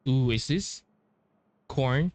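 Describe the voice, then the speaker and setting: A man's voice: medium pitch, extremely slow, darkish tone. A man giving casual playthrough commentary, musing aloud in a hesitant way. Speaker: game streamer. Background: faint game music.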